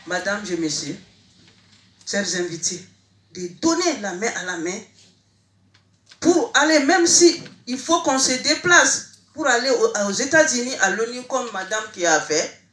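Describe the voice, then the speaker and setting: A woman from Mali making an emphatic, halting, impromptu plea at a public meeting in an echoey room, repeating her phrases. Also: on the high side, fairly bright tone. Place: indoor meeting hall.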